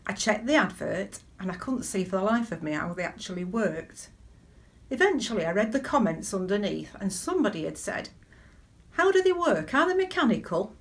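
A middle-aged woman speaks at a medium pitch, as a deacon leading worship from home. Her delivery is measured and conversational, moving between everyday anecdote and reflective preaching, with rhetorical questions to the listener. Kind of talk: conversational sermon with personal anecdotes. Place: small living room at home, indoors.

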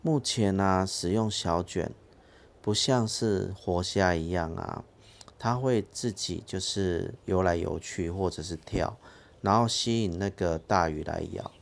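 A man's voice: sits low, unhurried, creaky. A man hosting a fishing channel, explaining his rig step by step in a plain casual way outdoors at a harbour. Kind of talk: casual how-to narration.

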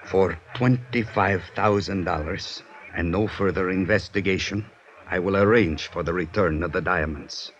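Low-voiced secretive foreign informant. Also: male voice, fairly bright tone, highs cut off. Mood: guarded, businesslike. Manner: formal, cautious, measured, avoids contractions. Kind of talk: 1950s radio drama acting. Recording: faintly noisy 1950s radio recording.

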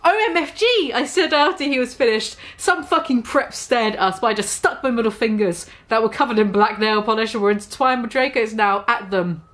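A woman in her twenties reads fan fiction aloud in a fairly high voice. Her delivery is mock-dramatic and exaggerated, with a sarcastic edge, as a vlogger performing to camera in a small room. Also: a little fast, few pauses, a bit bright. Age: 20s.

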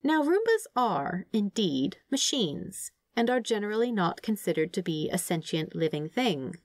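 A woman reading fan fiction aloud as an expressive, scripted story narration. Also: mid-range voice, moderate pace, no echo, clean studio sound.

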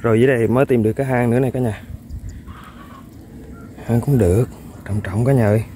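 A man, excitedly commenting to viewers while fishing on a riverbank. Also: mid-range voice, quick pace, slight background noise. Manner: excitable, exclaims often, chuckles. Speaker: fishing vlogger. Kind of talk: casual outdoor commentary to viewers. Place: outdoors by a riverbank.

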